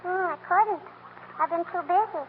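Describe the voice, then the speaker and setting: Casting: very high-voiced, cheeky little girl pest for vintage radio comedy, scratchy narrow-band sound. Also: moderate pace. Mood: innocent, sassy. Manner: ends remarks with "hmm?" and "I bet you". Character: pestering, cheeky little girl, neighbourhood child. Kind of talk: 1930s radio comedy acting.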